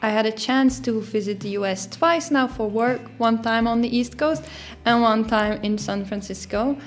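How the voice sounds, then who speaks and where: Young woman, fairly high voice. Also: normal speed. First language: Dutch. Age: young adult. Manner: reflective and easygoing, with soft fillers. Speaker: YouTuber. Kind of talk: casual vlog narration.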